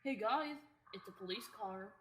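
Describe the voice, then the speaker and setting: A woman's voice: medium pitch, normal speed, fairly bright tone, close to an everyday voice. A teenage woman acting a confident, persuasive young male cave guide in a radio theatre play. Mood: brisk, practical. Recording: echoey room, faint noise.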